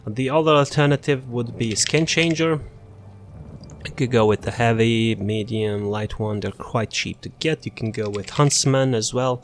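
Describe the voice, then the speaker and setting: A man casually walking through a game character build, halting often with fillers and restarts. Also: mid-range voice, a little hiss or hum. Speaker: gaming channel host.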